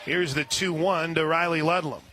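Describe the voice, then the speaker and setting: A man calling live softball play-by-play on the radio as the announcer, with an excitable delivery. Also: fairly high pitch, moderate pace. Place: at a softball stadium. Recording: noisy live radio broadcast with stadium ambience. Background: clear background noise, ballpark ambience.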